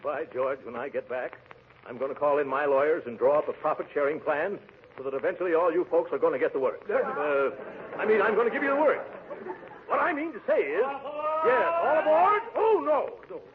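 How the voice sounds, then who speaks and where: A tinny, hissy 1940s radio comedy recording of an actor as a pompous factory owner. His voice is on the high side for a man. He orates with portly self-importance and stammers into bluster. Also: animated, normal speed, lightly acted. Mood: flustered. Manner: pompous, speechifying, stammering when flustered.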